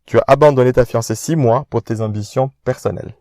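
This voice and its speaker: A defiant, sharp-tongued young man, voiced by a male actor answers with mocking confidence in an ordinary mid-range voice. The recording is dry and close, with no room sound. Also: medium pitch, fast, no echo, close to an everyday voice. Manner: mocking, self-assured, defiant. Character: proud witty young man, former flatmate. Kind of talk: independent audio drama acting.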